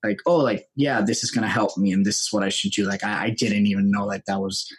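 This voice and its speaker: A young man, telling his story as a podcast guest in a relaxed, chatty way. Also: lowish voice, quick pace, husky. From Puerto Rico. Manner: relaxed and chatty, acts out his own past lines in quotes. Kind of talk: casual conversational storytelling.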